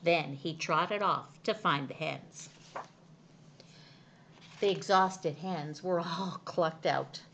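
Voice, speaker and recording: A woman reading a children's storybook aloud as an expressive narrator. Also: fairly low pitch, slow, long pauses, a little hiss or hum. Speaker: storytime reader.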